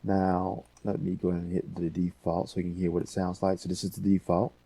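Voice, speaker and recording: A man's voice: sits low, completely flat delivery, fairly quick, vocal fry, dark tone, breathy edge. A man hosting a casual audio editing tutorial, thinking aloud in a folksy, rambling way.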